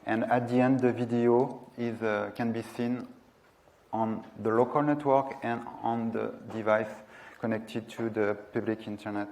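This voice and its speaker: A man's voice: mid-range voice, fairly even intonation, unhurried, darkish tone. A man in his thirties giving a technical presentation in a lecture room, speaking hesitantly and searching for words. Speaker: conference presenter.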